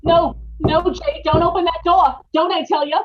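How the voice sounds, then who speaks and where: A woman's voice: extremely high pitch, steady tone, normal speed, dull audio. A woman playing a scheming, guilt-ridden murderous wife in an old time radio horror play, with dramatic, folksy, emphatic delivery. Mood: panicked. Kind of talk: old time radio horror acting, confessional narration and dialogue.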